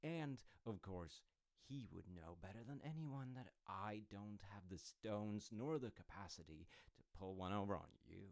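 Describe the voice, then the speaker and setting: A man playing a sly, teasing secret agent turncoat in an intimate audio roleplay, speaking in coy, halting phrases with hushed asides and a falsely innocent menace. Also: on the low side, expressive, no echo. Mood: mock-innocent menace.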